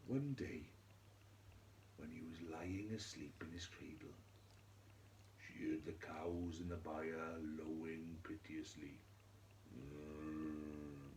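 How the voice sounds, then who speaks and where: A man storyteller narrating a scripted fairy tale for children, theatrically, in measured phrases with dramatic pauses. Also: extremely low pitch, steady tone, darkish tone. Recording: echoey room with faint noise.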